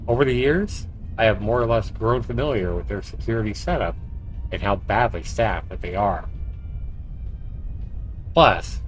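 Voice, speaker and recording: Low-voiced male streamer reading a story aloud. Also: animated, measured pace, pauses often, poor audio. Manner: storytelling read with short asides. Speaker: livestreamer, game player. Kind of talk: reading visual novel narration aloud on stream. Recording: faint music behind the voice. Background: faint game music.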